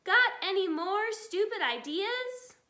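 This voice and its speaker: A vicious, gleeful little farmer from a storybook acted by a woman. The shouted threats are high and animated, in a roomy space. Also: takes it slowly, exaggerated voice. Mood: scornful. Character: gleeful, bloodthirsty little male farmer hunting foxes.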